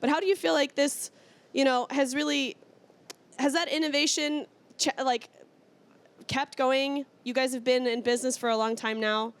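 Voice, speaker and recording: A woman's voice: sits high, fairly even intonation, normal speed. A woman from Iceland hosting a casual trade-show interview in an enthusiastic, informal way. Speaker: interview host.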